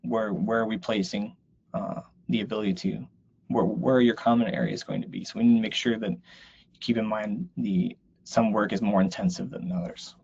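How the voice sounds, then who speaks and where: Guy explaining slides on a webinar, lowish raspy voice, kinda dull audio. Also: fairly even intonation, normal speed, a little mellow. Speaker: webinar presenter.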